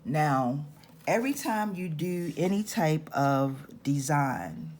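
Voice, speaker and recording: Slow-talking raspy woman teaching crafts. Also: sits low, animated, darkish tone, slight background noise. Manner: slow, patient step-by-step instructions. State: raspy-voiced this morning. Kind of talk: hands-on craft tutorial.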